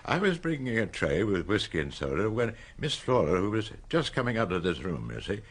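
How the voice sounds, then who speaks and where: An actor playing a deferential, hesitant butler in a radio drama. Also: male voice, on the low side, sing-song, fairly quick, slightly rough.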